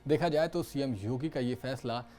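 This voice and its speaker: A man's voice: mid-range voice, normal speed, dark tone. A man presenting scripted news narration as a news presenter. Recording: music bed behind voice. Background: background music.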